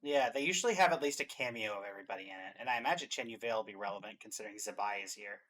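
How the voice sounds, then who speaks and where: A man's voice: fairly low pitch, rapid-fire, fairly bright tone. A man chatting casually as he hosts and comments in a reaction show.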